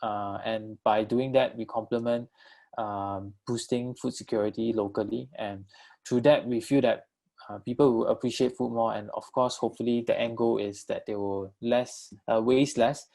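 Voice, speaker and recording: A man from Malaysia giving a virtual talk about his venture and taking audience questions, with many pauses, fillers and restarts. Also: on the low side, fairly even intonation, vocal fry, darkish tone. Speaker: co-founder presenting his enterprise.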